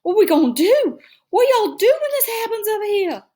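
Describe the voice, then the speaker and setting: A woman's voice: deep voice, moderate pace, slightly rough. A woman voicing a worried, doting mother in a storybook, dramatic and soothing, with folksy phrasing. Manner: Southern-style talk when worried, soothing.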